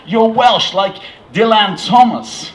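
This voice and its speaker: A man voicing a large, friendly, excitable American man in a live story reading, with an American accent and a gushing manner. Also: sits high, takes it slowly, pitched above the performer's own voice. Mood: amazed. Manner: gushing, loud, easily awed.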